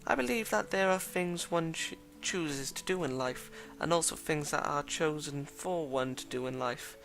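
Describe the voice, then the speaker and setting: A courteous, gentle young woman, as a man reads her aloud from a visual novel, her lines soft and measured. The voice is gravelly and bright, a little higher than his usual talk. Faint music plays underneath.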